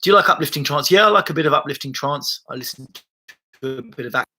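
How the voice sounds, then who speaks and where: A man's voice: a little high, animated, fast talker, bright, forceful tone. A man, a body-based therapist chatting loosely and rambling through viewer comments on his livestream. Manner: rambling, loose and conversational with frequent restarts and swearing. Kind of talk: casual livestream chat answering viewer comments. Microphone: laptop mic.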